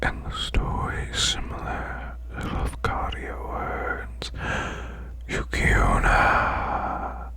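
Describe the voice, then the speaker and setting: A man voicing a demon boss in a breathy, growling whisper, reading folklore slowly as soothing bedtime ASMR. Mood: hushed, soothing. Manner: slow, hushed, deliberate recitation. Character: male demon butler's boss, growling softly, soothing storyteller.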